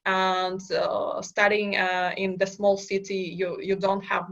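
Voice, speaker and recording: Gravelly-voiced woman from Kazakhstan. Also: medium pitch, little pitch movement, takes it slowly, a bit bright, highs cut off. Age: young adult. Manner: hesitant, many fillers and restarts, non-native phrasing. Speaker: startup founder, podcast guest. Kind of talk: conversational interview answers.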